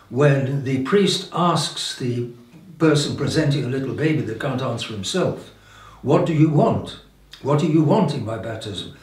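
A man's voice: medium pitch, a little mellow. Elderly male preacher in an echoey room. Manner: halting, repeats and restarts phrases, emphatic pauses. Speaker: bishop preaching. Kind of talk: informal sermon. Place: indoor room with religious paintings. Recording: roomy echo, distant microphone.

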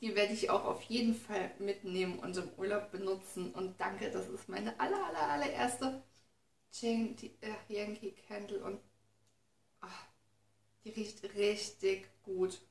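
Young woman vlogger, excitable and chatty, some vocal fry, recorded from a few feet away in a roomy living room. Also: mid-range voice, a little slow. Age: young adult. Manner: chatty, excitable, reads notes aloud. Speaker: beauty vlogger. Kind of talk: casual unboxing chat. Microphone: camera mic from a few feet away. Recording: roomy, distant camera sound with faint noise.

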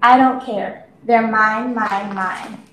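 A woman's voice: on the high side, steady tone, takes it slowly, fairly bright tone. A woman voicing a greedy, grabby young male dinosaur in a children's storybook, insistent and possessive. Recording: echoey room with faint noise.

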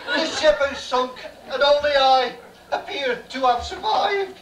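A man's voice: extremely high pitch, lively intonation, unhurried. A man acting a comic shipwreck survivor in an amateur rhyming stage pantomime, declaiming to the audience. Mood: mock dramatic. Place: small bar stage indoors. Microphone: distant camera. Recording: very noisy, echoey bar stage from far off.

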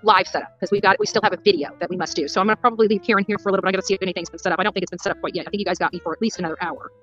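A woman's voice: mid-range voice, steady tone, rapid-fire, fairly bright tone, dull audio, faint music behind. A middle-aged woman chatting casually to livestream viewers, scattered and repeating her words as she goes. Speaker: livestream host covering a court case. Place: outdoors outside a courthouse at first, later a hotel room.

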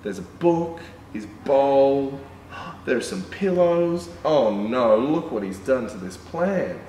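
A young man reading a picture book aloud to children as a storytime presenter, in an animated, exclaiming manner. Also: mid-range voice, slow. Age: young adult. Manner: animated, exclaiming, with excited gasps and asides. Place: indoor room with painted backdrop. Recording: roomy, distant mic, background noise.

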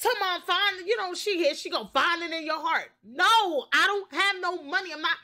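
A young woman telling a story casually on a livestream, expressive, slangy and sweary. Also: very high-pitched, moderate pace. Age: young adult. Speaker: game streamer.

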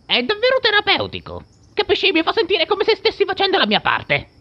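A man voicing a smug, theatrical supervillain clown with a creaky, sharp voice in a comedic cartoon parody dub. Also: extremely high pitch, huge pitch swings, fairly quick, exaggerated voice. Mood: cheerfully casual. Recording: dull band-limited sound with music behind.

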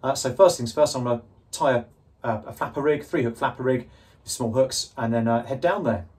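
A man's voice: mid-range voice, a little fast, a little mellow. A man, talking casually and instructively as a fishing vlogger. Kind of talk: casual instructional vlog talk. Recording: clear background ambient noise.

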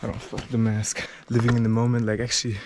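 A young man with a German accent rambles loosely to a camera held at arm's length, winded from a steep trail. His voice sits at an ordinary pitch, and steady outdoor noise runs clearly under the recording. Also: quick pace. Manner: laid-back, rambling, lots of "like" and swearing. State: out of breath from climbing steps. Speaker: travel vlogger. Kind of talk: casual vlog talk while hiking. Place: outdoors on a rocky mountain trail. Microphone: handheld camera at arm's length.

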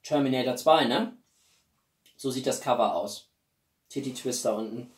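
A echoey, slightly distant recording of a man in his forties at mid pitch. He hosts a casual video chat from a small room, talking to viewers with expressive emphasis and pauses for effect. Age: 40s. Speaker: YouTube host. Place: small living room indoors. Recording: roomy echo, distant mic.

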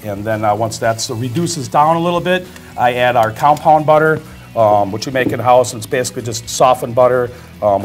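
A middle-aged man with an Upper Midwest American accent, a head chef explaining a dish on a studio cooking set, talking plainly with many ums and uhs. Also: medium pitch. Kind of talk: cooking demonstration. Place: indoor studio kitchen set.

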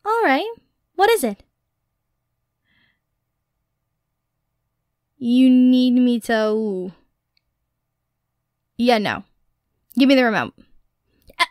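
A high-pitched, excitable young girlfriend in an audio roleplay acted by a woman. She is nerdy, playful and affectionate, speaking unhurriedly and close to a dry microphone. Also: dry recording, full character voice. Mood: mock protesting. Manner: playful, expressive, teasing, nerdy rambling. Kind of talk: ASMR girlfriend roleplay, intimate acted monologue. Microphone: close, dry studio microphone.

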